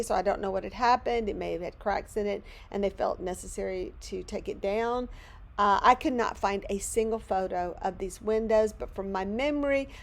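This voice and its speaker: A middle-aged woman from Louisiana, hoarse and losing her voice, chatting in a rambling, self-deprecating way as she narrates a crafting video from her home studio. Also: mid-range voice. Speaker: craft channel host.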